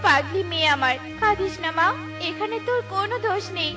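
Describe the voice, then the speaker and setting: A very high-pitched, gentle mother bird from a fairy-tale cartoon , acted by a woman. She is honest and patient, with a soft, pleading, moralising manner. Music plays clearly behind her. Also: animated, fairly quick. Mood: gentle, reassuring.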